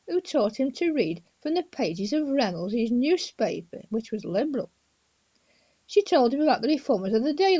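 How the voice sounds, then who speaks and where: A woman with a fairly high, very melodic voice narrates a life story from her own book. She reads deliberately and drifts into informal asides to the listener. The sound is slightly dull and lo-fi, like a homemade audiobook. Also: dark tone. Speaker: author reading own biography aloud.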